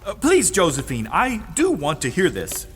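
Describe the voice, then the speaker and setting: Fussy male academic, high and bright, formal and easily indignant, audio drama. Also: huge pitch swings, normal speed, heavily acted. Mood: earnest, curious. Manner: formal, pompous, precise wording. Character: prim, scholarly, indignant male historian. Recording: reverberant hall with music behind.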